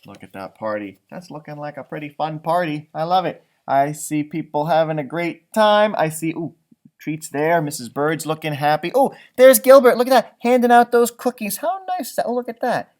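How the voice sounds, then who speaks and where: Guy doing a storytime read aloud for kids, chatty n encouraging, animated teacher type. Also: a little high, normal speed. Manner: encouraging, chatty, stretches words for young listeners. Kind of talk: animated children's read-aloud with casual asides.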